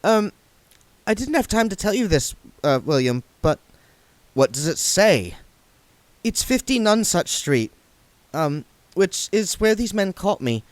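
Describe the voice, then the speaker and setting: A man's voice: sits high, big pitch swings, measured pace, no echo, pitched above the performer's own voice. A man voicing a flustered, chattering young woman. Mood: nervous rambling. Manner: nervous, breathless rambling.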